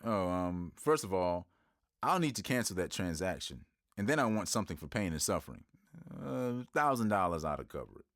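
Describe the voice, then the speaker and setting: A man's voice: low voice, sing-song, fairly quick, fairly bright tone, no echo, studio quality, exaggerated voice. A man playing a nervous, nerdy young banker who turns gushing and eager. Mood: bossy. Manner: flustered, then gushing.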